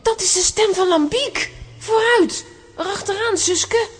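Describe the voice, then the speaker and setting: A woman's voice: extremely high pitch, measured pace. A woman playing a bold, spirited young girl adventurer in a children's audio drama, eager and assertive, with a Flemish accent. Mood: excited, bossy.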